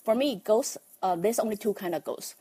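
Halting woman with a Taiwanese accent. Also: mid-range voice, dark tone, husky. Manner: halting, many ums, nervous laughs. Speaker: livestream host, life coach. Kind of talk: casual livestream talk.

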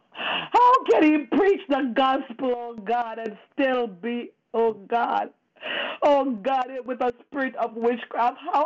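A prayer leader praying fervently and off the cuff, pleading and emphatic, with repeated invocations and a tearful edge. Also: high voice. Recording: dull lo-fi radio-like phone line.

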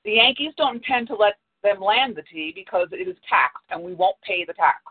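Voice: mid-range voice, animated, normal speed, slightly rough, no echo. Cheerful young porter lad, read out by a storyteller over a tinny line; plain reading, barely acted. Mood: earnest.

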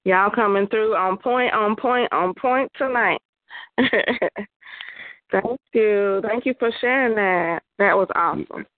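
A woman's voice: medium pitch, takes it slowly, no room sound, phone-quality sound. A woman hosting a call-in poetry radio show, upbeat and hyping up the callers.

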